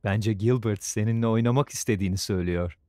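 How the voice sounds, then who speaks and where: A man voicing a tender, reassuring young father in a children's cartoon dub, soft and thoughtful as he comforts. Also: on the low side, fast talker, no echo, natural voice. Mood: tender, consoling. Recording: studio dub over background music.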